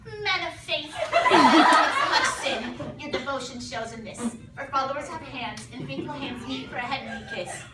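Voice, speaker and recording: A woman's voice: high-pitched, expressive, a little slow, hardly any pauses. A woman voicing a pious young noblewoman in a live puppet show, answering in coy, teasing verse. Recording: echoey room from far off with loud noise.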